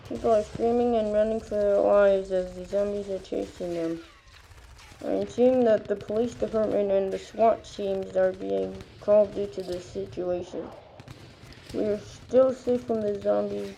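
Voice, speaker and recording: A woman's voice playing a field news reporter in a scripted crisis report, serious, plain and measured, with faint background noise. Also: medium pitch, gravelly, mellow, lightly acted, rough recording. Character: field news reporter trapped above a city in chaos.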